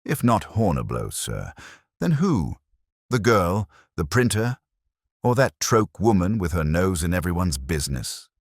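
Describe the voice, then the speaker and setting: A man's voice: low-pitched, sing-song, a little slow, dry recording, studio quality, full character voice. Man as eager, blunt police sergeant. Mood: eager questioning. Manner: blunt, questioning. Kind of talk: audiobook character dialogue.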